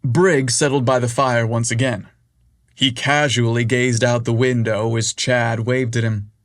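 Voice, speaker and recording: A man reading a story aloud as narrator, in a measured, unhurried way. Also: medium pitch, level tone, fairly bright tone, dry recording, studio quality.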